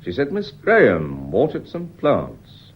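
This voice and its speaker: An actor playing a courteous, probing British police inspector in a radio mystery play, formal and deliberate in his questioning. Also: mid-range voice, wildly swooping pitch, slight background noise. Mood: insistent, courteous. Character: probing, measured, courteous male Scotland Yard police inspector. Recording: dull, muffled radio drama recording.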